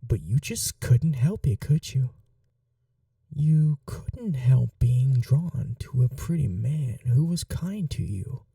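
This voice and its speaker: A cruel yet doting vampire mistress, acted by a woman for an ASMR roleplay. The voice is soft, breathy and often whispered, with slow delivery and swooping, expressive pitch. It has a very dark, velvety tone and coaxes with possessive tenderness. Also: dry recording, clean studio sound, recorded from a distance, full character voice. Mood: wounded, bitter. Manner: slow, coaxing, tender with pet names. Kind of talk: intimate ASMR roleplay acting.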